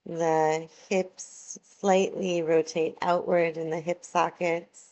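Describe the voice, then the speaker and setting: A woman guiding a yoga relaxation meditation, giving soothing, measured instructions with long pauses between phrases. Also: fairly low pitch, flat delivery, darkish tone, poor audio.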